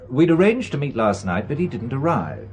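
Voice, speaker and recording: An actor playing a shrewd, composed middle-aged British businessman drawn into espionage, speaking in a measured, polite way in an audio drama. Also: mid-range voice, big pitch swings, slight background noise, close to an everyday voice.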